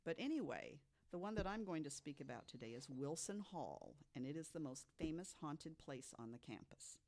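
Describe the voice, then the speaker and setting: Podcast co-host, mid-pitched, deliberate delivery from notes, conversational with emphatic asides. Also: sing-song, gravelly, darkish tone. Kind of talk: storytelling from notes to co-hosts.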